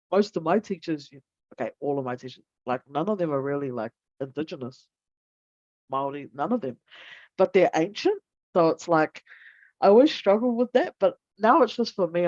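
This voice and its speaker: A woman's voice: sits low, darkish tone, dry recording. Muffled, meandering woman host. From New Zealand. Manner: meandering, searching for words, spiritual. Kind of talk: casual online talk, guided breathing.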